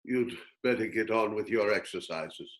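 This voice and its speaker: A man's voice: on the low side, moderate pace, natural voice. A man playing a grave, wise old mentor, solemn and instructive, in a scripted reading. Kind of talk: scripted table read acting.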